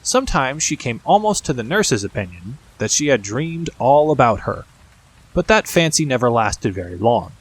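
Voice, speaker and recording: A male audiobook narrator reads a children's storybook in a measured way. His mid-pitched voice moves widely up and down, giving the scripted prose an expressive, storytelling shape. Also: slightly rough.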